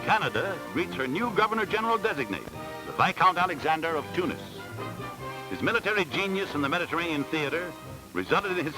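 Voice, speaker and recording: A man's voice: high-pitched, low-quality recording. A man reading scripted 1940s newsreel narration in a formal, dramatic announcer style, on a vintage film soundtrack. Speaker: newsreel commentator.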